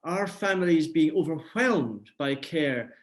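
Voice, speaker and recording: A man moderating a webinar panel over a video call, passing the floor and reading out audience questions in a brisk, businesslike way. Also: a little high, lively intonation.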